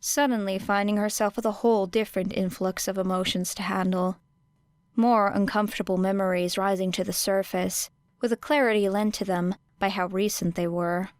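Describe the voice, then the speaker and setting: A woman's voice: mid-range voice, no room sound. Woman narrating in a dry close voice. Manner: measured, even storytelling. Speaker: podfic narrator. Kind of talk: audiobook narration of fan fiction.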